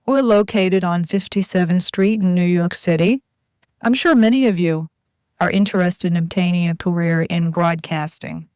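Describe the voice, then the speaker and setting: A woman giving a formal, measured scripted introduction as a director of personnel addressing would-be job applicants. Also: fairly low pitch, a little mellow, smooth, no echo, studio quality. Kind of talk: scripted recruitment narration.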